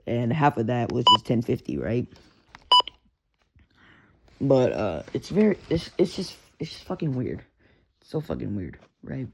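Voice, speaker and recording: Gravelly young Texan man rambling. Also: mid-range voice, very animated, a little slow, long pauses. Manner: rambling, swears, frequent fillers and restarts. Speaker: radio hobbyist. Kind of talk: casual rambling monologue. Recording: lo-fi with background hiss.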